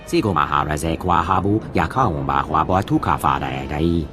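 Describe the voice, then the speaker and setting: A man's voice: a bass voice, expressive, moderate pace. A man voicing a big, solemn alien warrior who speaks in invented syllables, in a video game. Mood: commanding. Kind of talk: video game cutscene voice acting. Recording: clear music behind the voice.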